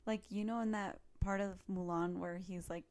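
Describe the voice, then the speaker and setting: A woman's voice: medium pitch, fairly even intonation, moderate pace, darkish tone. Woman, mid-range voice, podcast co-host, casual chat, slangy conversational phrasing.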